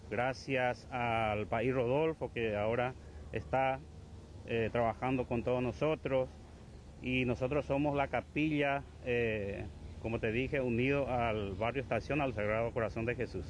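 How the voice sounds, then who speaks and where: Guy talking slow with lots of ums, outdoors, bit of noise behind. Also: medium pitch, fairly even intonation. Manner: slow, hesitant, many fillers. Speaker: chapel community member. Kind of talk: street interview. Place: outdoors near a government office.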